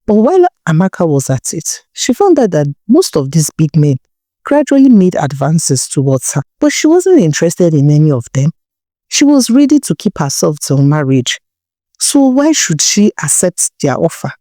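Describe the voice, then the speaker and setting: A Nigerian woman narrates a folktale in a low, mellow voice. She tells it with drama, as a storyteller. The sound is close and dry. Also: big pitch swings, moderate pace, no room sound.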